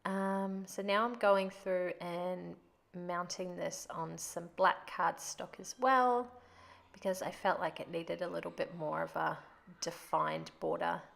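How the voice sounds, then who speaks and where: Stuffed up woman rambling over a craft video, low-ish gravelly voice, chatty. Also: fairly even intonation, takes it slowly, fairly bright tone. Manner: chatty and rambling, laughs often. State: stuffed up from a cold. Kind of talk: casual voice-over narration.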